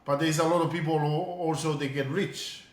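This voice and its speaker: A man's voice: fairly high pitch, fairly even intonation, moderate pace, echoey room. A middle-aged man from Tonga, a guest telling his story in an interview, earnest and often repeating words as he looks for them. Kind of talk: casual interview.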